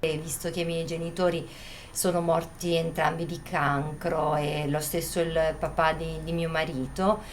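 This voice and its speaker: Low-voiced woman, faint background hiss. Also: little pitch movement. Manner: speaks hesitantly with pauses and fillers. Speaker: guest. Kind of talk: casual conversation.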